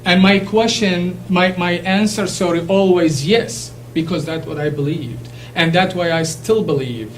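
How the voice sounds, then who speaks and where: High-voiced Iraqi man giving an earnest speech. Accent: Iraqi Arabic. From Iraq. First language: Arabic. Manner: earnest, emphatic, halting with restarts. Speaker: speaker addressing a college class. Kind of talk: impassioned public speech. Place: classroom or lecture hall. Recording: distant mic with faint hum.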